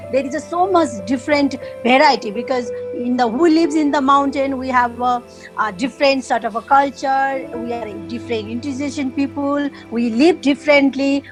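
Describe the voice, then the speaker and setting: High-voiced Nepali-accented woman, halting speech. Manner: halting, searching for words, many fillers. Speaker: guest. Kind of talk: casual interview conversation.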